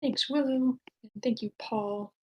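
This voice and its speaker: A woman's voice: fairly high pitch, unhurried, mellow, highs cut off. A woman moderating a feedback session as host, speaking casually into an online meeting microphone.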